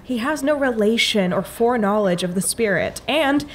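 An actress playing a firm, curt defense lawyer in an audio drama, formal and controlled. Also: female voice, on the high side, animated, moderate pace, slight background noise, natural voice.

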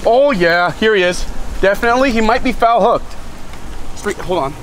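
A young man hosting a fishing channel, chatting casually to viewers outdoors and getting excited as he fishes. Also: high voice, normal speed, slight background noise. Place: outdoors by a lake and a pool.

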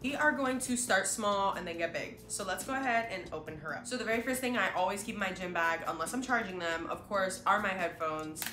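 Stuffy-nosed young woman, bright and chatty. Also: mid-range voice, brisk, few pauses, slight background noise. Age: young adult. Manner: chatty, upbeat, addresses viewers directly. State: stuffy nose from a sinus infection. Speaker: fitness vlogger. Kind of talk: casual vlog show-and-tell. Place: small bedroom with a couch, indoors. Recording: roomy echo, faint music behind.

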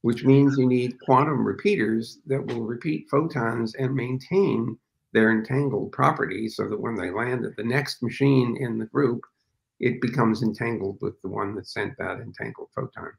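A man's voice: mid-range voice, moderate pace, creaky, low-quality recording. An older man speaking as an interview guest over a video call from a home office, explaining thoughtfully with frequent fillers. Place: home office with framed pictures, indoors. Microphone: video call webcam.

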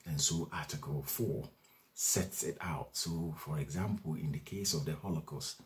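A man in his forties with an extremely deep, low voice talks through legal articles. His measured delivery is broken by ums and restarts. He is recorded on a webcam in a small room at home. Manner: measured, with frequent fillers and restarts. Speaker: legal educator. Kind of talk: explaining and reading out a legal text. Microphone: webcam at desk distance.

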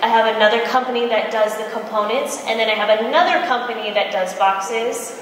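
A woman's voice: on the high side, a little fast. American woman giving a chatty talk on stage, super echoey room, bit of background noise. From Michigan, United States. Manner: chatty, animated storytelling with acted-out quotes. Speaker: entrepreneur speaker, cosmetics brand founder. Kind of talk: informal conference presentation with anecdotes. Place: large indoor expo conference room, on stage. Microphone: handheld microphone through PA, recorded from audience.

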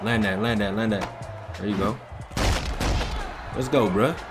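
A man's voice: lowish voice, measured pace, loud music behind the voice. A young American man giving casual, slangy reaction commentary as a gaming video host. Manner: slangy, informal.

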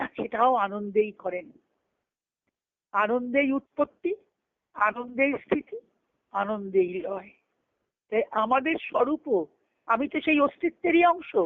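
A woman's voice: on the high side, no room sound. A woman giving a devotional philosophical discourse as a spiritual teacher, posing questions and answering them, over a telephone conference call. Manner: didactic, poses questions and answers them, repeats phrases. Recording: thin phone-line conference call audio.

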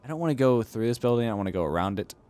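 A man's voice: mid-range voice, animated, very fast, a little mellow. A young man commenting casually on a strategy game he is playing, joking around and arguing with himself. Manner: goofy, argues with himself in silly voices. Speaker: let's play host.

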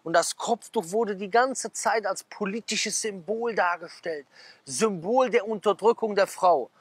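A man in his thirties, a preacher, sitting at a garden table outside, reading out headlines and breaking into animated asides with questions to his listeners. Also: high voice, husky, no echo. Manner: animated, gesturing, quick asides with rhetorical questions. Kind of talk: casual talk, reading out magazine headlines. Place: outdoors at a garden table, sunny.

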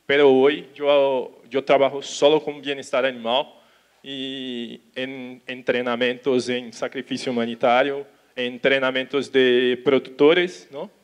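A young man from Brazil with a Portuguese accent, giving a conference talk in halting Spanish on an auditorium stage, talking slowly with restarts. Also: medium pitch, little pitch movement, smooth. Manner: slow, careful, with restarts and Portuguese words. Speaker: conference speaker. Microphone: handheld mic.

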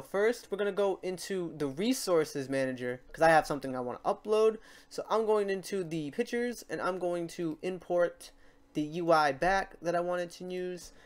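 Casual, halting male tutorial host. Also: sits high, expressive. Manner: informal, thinking aloud, halting. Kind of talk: casual screen-recorded software tutorial. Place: indoors at a computer, keyboard typing behind.

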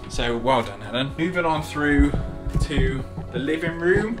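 A man's voice: on the high side, animated, a little slow, distant mic. Man, 20s, casual house tour, jokey, echoey room, music underneath. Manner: jokey, chatty, teasing. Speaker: vlogger giving a house tour. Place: small carpeted house, indoors.

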